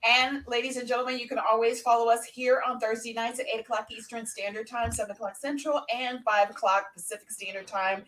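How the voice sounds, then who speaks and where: A woman's voice: on the high side, level tone, brisk, few pauses, a bit bright, rough recording. A woman hosting an unscripted live podcast, talking off the cuff as she runs the show. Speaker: podcast host.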